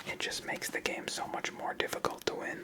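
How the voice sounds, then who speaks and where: A person whispering ASMR-style commentary as the host of a card game channel, in a soft, unvoiced manner. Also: fairly quick.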